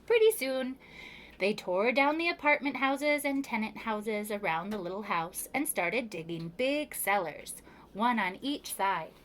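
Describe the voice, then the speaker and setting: A woman reading a children's picture book aloud as a preschool storyteller, with expressive, measured delivery and emphatic pauses. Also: a little high, a little hiss or hum, rough recording.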